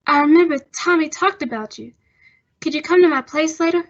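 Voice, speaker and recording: Woman as a high-voiced, brisk nightclub girl. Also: highs cut off. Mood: guarded. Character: brisk, no-nonsense young nightclub cigarette girl. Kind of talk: old-time radio detective drama acting.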